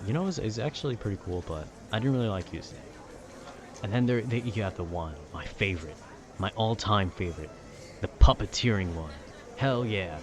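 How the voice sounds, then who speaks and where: A man's voice: deep voice, noisy background. A man chatting casually in a laid-back, meandering, slangy way, as if rambling to a friend about video games. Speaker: audio roleplay creator.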